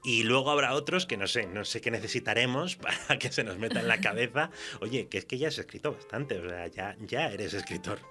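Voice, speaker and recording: A man's voice: mid-range voice, animated, brisk, hardly any pauses, sharp tone, faint music behind. A man with a Spain accent talking casually and colloquially as a writer being interviewed, telling anecdotes with some mild swearing. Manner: laughs while talking. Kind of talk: casual literary interview chat.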